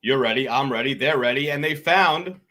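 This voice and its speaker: A man's voice: mid-range voice, normal speed, pushed and bright. A man co-hosting a casual podcast chat, opening with hype-man calls and emphatic repeats. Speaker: podcast co-host.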